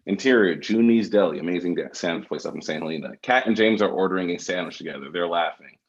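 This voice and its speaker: A man's voice: deep voice, quick pace, breathy edge, muffled recording. A man, the writer, reading out stage directions as narration at a script table read.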